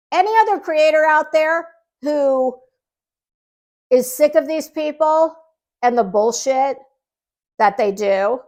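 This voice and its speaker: High-pitched, slow-paced sarcastic woman. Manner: sarcastic, mocking, emphatic; blunt, swearing. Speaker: commentary channel creator. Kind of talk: casual online drama commentary.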